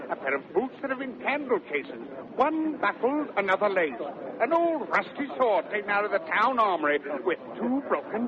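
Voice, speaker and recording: An actor playing a cheeky, excitable servant, reeling off comic lists theatrically in 1930s radio Shakespeare. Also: male voice, extremely high pitch, heavily acted. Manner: breathless comic list-making, theatrical. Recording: dull 1930s radio recording, loud crowd behind.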